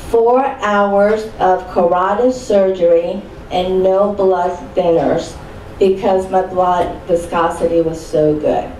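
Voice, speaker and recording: A woman's voice: on the low side, slow pace, mellow. A woman in her sixties from Chile giving a personal testimony as a panel speaker at a live event. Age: 60s. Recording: roomy, distant mic, faint background noise.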